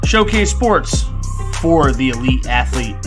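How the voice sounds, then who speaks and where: A man reading the show's sponsor intro in a slow, drawn-out hype delivery. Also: medium pitch, sing-song. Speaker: show intro announcer. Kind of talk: recorded sponsor intro over music. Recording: announcer voice over hip hop music. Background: hip hop music.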